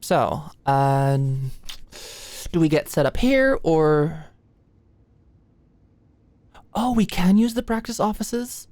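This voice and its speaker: A woman's voice: lowish voice, animated, takes it slowly, pauses often, darkish tone, no echo. A young woman playing an anxious, friendly medical student in an ASMR roleplay, chatty and hesitant, easily flustered. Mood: casual, playful. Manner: hesitant, chatty, nervous, conversational. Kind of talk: ASMR roleplay, acted casual dialogue.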